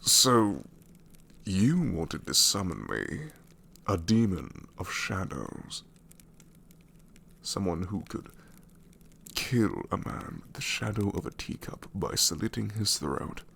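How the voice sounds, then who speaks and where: A man playing a sassy gravelly shadow demon. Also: sits low, sing-song, slow pace, pauses often, fairly bright tone, heavily acted. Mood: exasperated sarcasm. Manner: sarcastic, incredulous, grumbling. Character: sassy exasperated male shadow demon, ancient. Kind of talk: ASMR roleplay audio.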